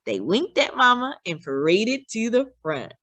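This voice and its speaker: A woman reads a picture book aloud as a storyteller for children. Her pace is slow and deliberate, and her pitch moves widely through the narration. The delivery is dramatic and expressive. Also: no room sound. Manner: deliberate and dramatic, acting out dialogue.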